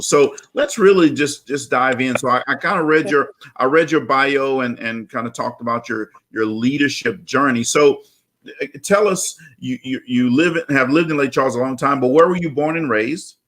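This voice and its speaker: A man's voice: medium pitch, normal speed, a bit bright. A man hosting a conversational interview over a video call, often affirming his guest and repeating words. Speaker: talk show host, interviewer.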